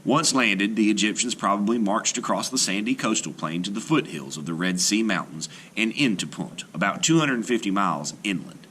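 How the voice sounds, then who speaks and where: Bright, lively male storyteller. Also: fairly low pitch, moderate pace, hardly any pauses, slight background noise. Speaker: storyteller and teacher. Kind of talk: scripted read-aloud narration.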